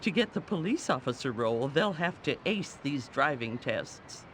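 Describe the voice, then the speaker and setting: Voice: mid-range voice, sing-song, normal speed, fairly bright tone, a little hiss or hum. A performer voicing a prim, anxious middle-aged woman who teaches driving in a cartoon video game, proper and fretful. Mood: polite, earnest.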